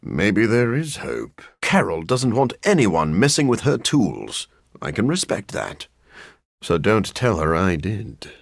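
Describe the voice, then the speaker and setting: An actor voicing a brooding, dryly witty gentleman vampire scientist in a video game, with a formal, measured, theatrical delivery. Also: fairly low pitch, huge pitch swings, vocal fry, no echo, clean studio sound, full character voice. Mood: wry, reflective. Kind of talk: scripted video game character lines.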